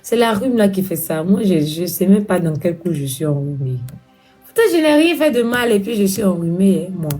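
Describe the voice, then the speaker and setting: Woman, stuffy nose from a cold, chatty live host, teasing, soft music behind. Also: mid-range voice, sing-song, moderate pace. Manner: chatty, teasing, pleading for gifts. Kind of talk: casual live stream chat.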